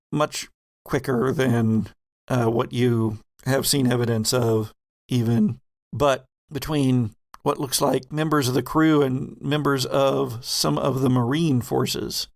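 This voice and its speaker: Gravelly male game master narrating. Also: mid-range voice. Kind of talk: narrating a tabletop horror game.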